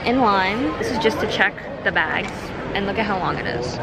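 A woman's voice: medium pitch, lively intonation, normal speed, a loud crowd. A teenage girl narrating a casual travel vlog to her handheld phone, upbeat and chatty. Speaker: vlogger. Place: airport and plane, indoors. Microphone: handheld phone at arm's length. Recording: noisy airport background.

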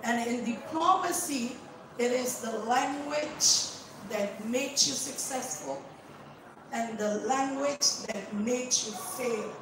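A high-voiced, slow-paced woman from Suriname with a Surinamese accent, English not her first language, speaking earnestly into a handheld mic. Her voice rings through a very echoey seminar room, picked up from a distance with clear background noise. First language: not English; Dutch learned in school. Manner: earnest, direct, speaks without notes. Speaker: guest speaker, ambassador. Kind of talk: unscripted public speech. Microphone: handheld microphone. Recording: echoey room, far from camera, noisy.

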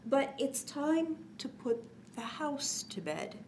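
A woman voicing a kindly, playful grandfather in a children's storybook, gentle and reassuring. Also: sits high, big pitch swings, takes it slowly, a little hiss or hum, voice pushed up, heavily acted. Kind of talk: children's storybook read aloud.

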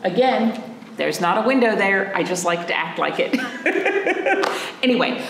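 A woman in her thirties, a children's librarian, reading a picture book aloud in an animated, playful way and asking the children questions. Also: mid-range voice, unhurried, reverberant, slight background noise. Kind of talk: storytime read-aloud for children. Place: library room in front of a painted wall.

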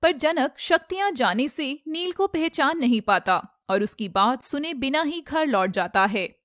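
A woman reading scripted narration as the storyteller of an animated fairy tale. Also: sits high, a little slow, dry recording, studio quality.

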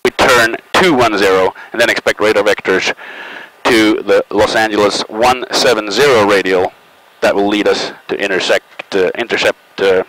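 A man's voice: on the low side, fairly even intonation, no echo, rough recording. A middle-aged man narrating and reading back as a pilot, in terse procedural phrases, on a headset in a small plane cockpit. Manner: terse, procedural callouts with pauses. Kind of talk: cockpit commentary and radio readbacks. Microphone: aviation headset. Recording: loud aircraft cabin noise, headset intercom.

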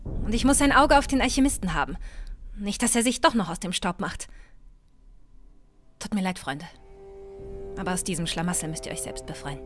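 A woman playing a sharp-tongued, hard-headed adventurer in a fantasy audio drama, speaking bluntly. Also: mid-range voice, moderate pace, pauses often, lightly acted. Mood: bitter, resigned. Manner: scolding, blunt. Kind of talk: fan audio drama acting. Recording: music underneath.

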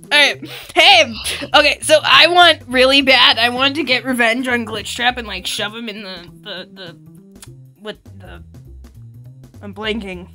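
A woman improvising a casual roleplay in a game with friends, talking in an excitable, bossy way. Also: fairly high pitch, unhurried, soft background music. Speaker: gamer in a group roleplay.

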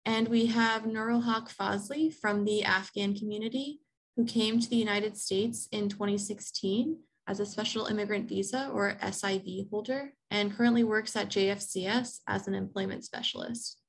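A woman's voice: mid-range voice, fairly even intonation, moderate pace, creaky, low-quality recording. A woman in her thirties moderating a panel, introducing the speakers in an organized way with frequent um's, over earphones on a video call. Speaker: program coordinator, moderator. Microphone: earphones with mic on a video call.

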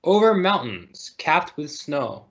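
A man's voice: a little high, sing-song, slow. A man reading a children's picture book aloud over a video call. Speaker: storybook reader. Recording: muffled, lo-fi video call audio.

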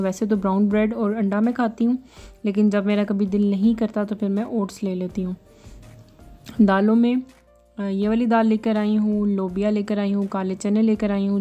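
A woman narrates her home vlog in a chatty, explaining way, her voice mellow and fairly flat in pitch. Soft music plays faintly beneath her words. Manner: chatty, explaining step by step, "जी" fillers. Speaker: vlogger. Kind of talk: casual home vlog voice-over, product review.

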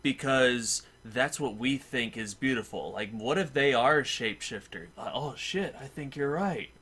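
A man giving casual game commentary among friends, halting and often repeating himself. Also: mid-range voice, animated, fairly bright tone, slightly rough. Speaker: gaming commentator.

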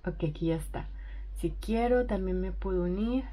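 Excited, chatty young woman vlogging. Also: medium pitch, normal speed, rough recording. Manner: excited, chatty, thinking aloud. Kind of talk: casual vlog narration.

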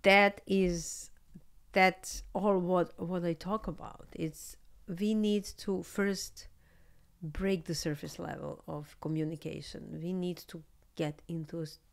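Serbian-accented woman, 30s, low gravelly voice, candid and animated podcast guest, close studio mic. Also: slow pace. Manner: candid, animated, laughs often. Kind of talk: casual interview chat. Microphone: studio mic on boom arm, headphones.

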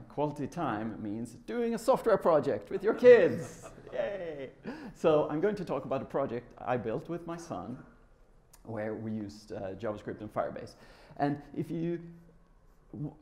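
A man's voice: medium pitch, mellow. A middle-aged man from Sweden, speaking informally and conversationally as he presents at a tech conference. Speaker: conference speaker, software developer. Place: large lecture room with a seated audience.

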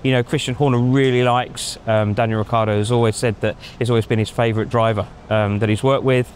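Middle-aged man, measured pundit, frequent ums, outdoor lapel mic, faint street hum. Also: mid-range voice, fairly even intonation. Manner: measured, thinking aloud with many ums. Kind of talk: casual analysis chat. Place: outdoors in a racing paddock at night.

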